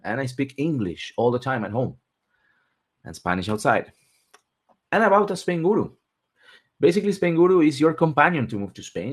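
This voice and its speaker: A man hosts a webinar. He works through his points one by one, pausing between items in a steady, list-like delivery. His pitch sits in the middle range for a man. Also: husky. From Argentina. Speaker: webinar host and relocation consultant. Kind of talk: presenting a webinar.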